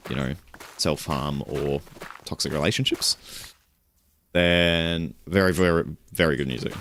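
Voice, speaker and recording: A young man streaming and chatting casually. He rambles in a relaxed way, with little chuckles and self-corrections. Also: a little hiss or hum. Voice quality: low voice. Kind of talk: casual stream chat while gaming.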